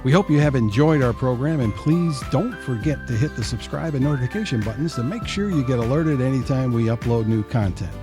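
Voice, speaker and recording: A man's voice: mid-range voice, lively intonation, a little fast, few pauses, music in the background. A man hosting an audio theater program, reading scripted credits as an announcer. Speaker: host and announcer.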